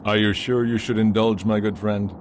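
Man voicing a teasing inquisitive friend, very deep voice, radio play, faint hiss. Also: flat delivery, normal speed, close to an everyday voice. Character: teasing, curious male friend of the priest. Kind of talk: amateur radio drama acting.

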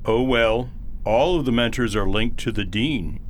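An actor playing a polite robot mentor in an audio drama, formal, precise and unemotional. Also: male voice, mid-range voice, unhurried, slight background noise, lightly acted. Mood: calm, matter-of-fact. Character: polite, businesslike robot mentor, matter-of-fact and unruffled.

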